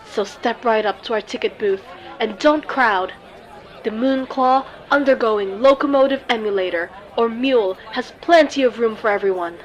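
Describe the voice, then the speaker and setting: A woman playing a grandiose showwoman guide in a fantasy audio roleplay, with salesmanlike patter and dramatic pauses. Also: fairly high pitch, a faint crowd, natural voice, poor audio. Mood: grand, inviting.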